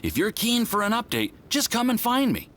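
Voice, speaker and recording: A man's voice: high voice, huge pitch swings, fairly bright tone, a little hiss or hum, heavily acted. A man voicing an earnest young research assistant in a video game, enthusiastic and deferential. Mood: apologetic. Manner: enthusiastic, rushing, then deferential. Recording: studio game voice with music behind. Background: game music.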